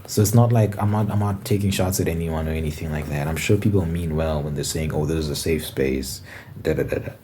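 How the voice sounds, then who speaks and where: A man's voice: a bass voice, a little fast, dark tone, a little hiss or hum. A man from Zimbabwe talking casually to camera in his room, rambling and correcting himself as he thinks aloud. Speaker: ASMR channel host. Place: bedroom with window.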